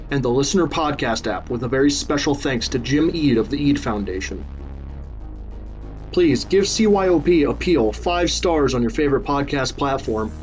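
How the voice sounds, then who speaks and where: A man reading scripted podcast credits and promotional notes as an announcer. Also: on the high side. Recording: dull lo-fi sound, music underneath. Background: background music.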